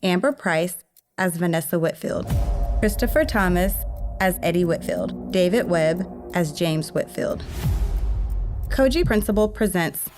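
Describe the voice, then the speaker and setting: Female outro announcer, lower register, scripted credits read over clear music bed. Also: animated, a little slow. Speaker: announcer reading closing credits. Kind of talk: scripted narration.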